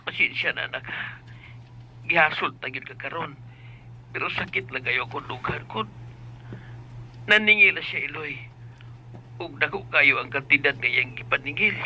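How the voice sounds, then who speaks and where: A man's voice: on the high side, moderate pace, pauses often, sharp tone, slight background noise, low-quality recording. A man with a Cebuano accent playing a meek, frightened farmer father in debt, pleading deferentially in a radio drama. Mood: distraught. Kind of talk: Philippine radio drama acting.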